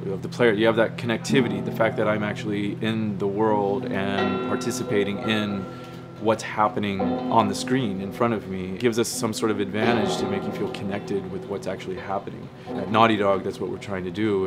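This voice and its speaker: A man, a game developer, explaining in an interview in an earnest, measured way. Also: fairly low pitch. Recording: loud guitar music behind the voice.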